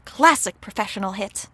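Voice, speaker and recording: A woman's voice: mid-range voice, lively intonation, measured pace, sharp tone, slightly rough, no echo, exaggerated voice. A woman playing an eager, chatty and observant spy agent in a comic audio drama, perky and matter-of-fact.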